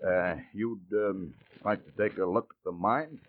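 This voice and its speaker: An actor playing a matter-of-fact mine boss in a vintage radio drama. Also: male voice, on the low side, unhurried, lightly acted. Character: plain-spoken male mine boss in the desert. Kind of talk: 1940s radio acting. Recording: muffled old radio recording, faint hiss.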